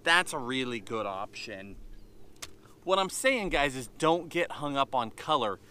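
An energetic man in his thirties explains lure technique to the camera from a boat on a lake, his hands moving constantly as he speaks. His voice is bright and forceful, sitting in the middle of the male range. A close camera mic picks him up outdoors. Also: medium pitch, unhurried, slight background noise. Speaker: fishing channel host. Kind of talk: outdoor fishing tips to camera.

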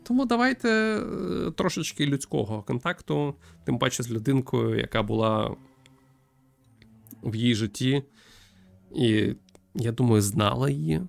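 A young man from Ukraine thinking aloud as he streams a game, with hesitant pauses and wry joking. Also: medium pitch, animated, gravelly. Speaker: streamer playing a visual novel. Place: small room at home with string lights. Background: game music.

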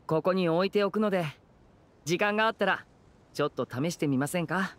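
An actor playing a courteous, smooth-talking teenage magician in an anime game. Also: male voice, high voice, a little fast, lightly acted. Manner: courteous, persuasive.

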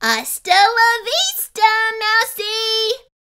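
A woman voicing a vain, bossy, mischievous male cat in a squeaky, childlike voice for a storybook. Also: very animated, pushed and bright, exaggerated voice. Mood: smug, mischievous. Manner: boastful, defiant, drawn-out delivery.

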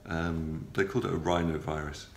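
Middle-aged man, very low voice, gravelly, stuffy from a cold, halting video diary, roomy sound. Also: fairly even intonation. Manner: halting, many ums and uhs, matter-of-fact. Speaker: patient video blogger. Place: small hospital room, indoors. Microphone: phone or camera close up, front-facing. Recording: roomy with faint background hum.

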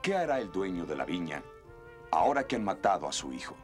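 An actor playing a solemn religious teacher telling a parable in a cartoon, speaking deliberately. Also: male voice, low voice, very animated, soft background music. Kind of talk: dramatic cartoon dubbing.

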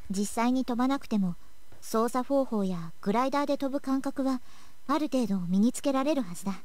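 A woman's voice: fairly high pitch, very fast, a little mellow, no echo, lightly acted. An actress playing a composed, matter-of-fact senior schoolgirl who instructs in a visual novel game. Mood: calm, instructive. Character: composed, businesslike anime senior schoolgirl, glider builder. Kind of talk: Japanese visual novel voice acting. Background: game music.

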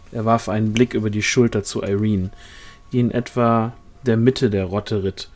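A man's voice: mid-range voice, darkish tone. A man reading a fantasy novel aloud as scripted audiobook narration. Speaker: audiobook reader. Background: music.

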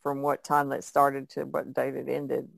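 A woman's voice: very deep voice, monotone, unhurried, vocal fry, no echo, studio quality. A middle-aged woman talking casually as a podcast co-host. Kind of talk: casual chat.

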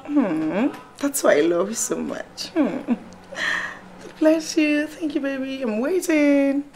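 A woman's voice: high-pitched, animated, slow, a little mellow, soft background music, full character voice. A woman with a Nigerian accent playing a clingy, cajoling girlfriend in a comedy skit, with a sugary, pleading delivery. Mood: sweet, wheedling. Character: cajoling, clingy girlfriend wheedling money from her lover. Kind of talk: comedy skit acting, phone call. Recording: clear ambient background noise.

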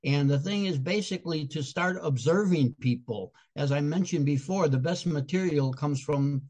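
A man's voice: a little high, normal speed, darkish tone. An older man from Chicago with a Chicago accent, answering interview questions in an earnest, explanatory way, sometimes restarting his phrases. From Chicago, USA. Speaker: guest speaker and comedian.

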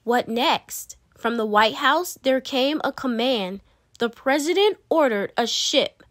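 Lady reading a kids picture book, takes it slow, really expressive storyteller, no room echo. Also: high-pitched, studio quality. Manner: dramatic, emphatic storytelling with playful asides. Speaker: read-aloud storyteller. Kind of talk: expressive children's picture book read-aloud.

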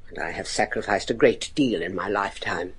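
Husky, imperious old matriarch. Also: female voice, a bass voice, fairly quick, heavily acted. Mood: bitter. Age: older. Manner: imperious, theatrical. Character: stern, embittered old gothic matriarch, proud and tormented. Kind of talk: gothic radio drama acting.

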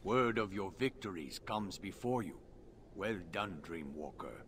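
A man's voice: deep voice, lively intonation, measured pace, a bit bright, distant mic, slight background noise, full character voice. A man playing a commanding alien clan warrior in a video game, with formal, terse phrasing. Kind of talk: video game dialogue acting.

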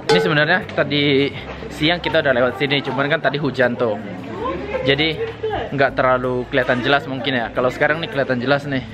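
A young man hosting a casual travel vlog, speaking enthusiastically outdoors into a handheld camera. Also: mid-range voice. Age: young adult. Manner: enthusiastic, exclaiming. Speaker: vlogger, host. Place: outdoors at night in a hot spring town. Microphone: handheld camera at arm's length.

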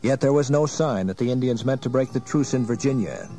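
A man's voice: mid-range voice, a little fast, mellow, faint music behind. A man narrating a dramatized history from a script, as a storyteller.

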